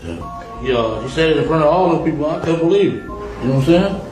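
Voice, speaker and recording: A man's voice: on the high side, animated, fast, over music. A man being interviewed, giving short, casual, slangy answers with some swearing. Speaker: interviewee recounting events. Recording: roomy echo.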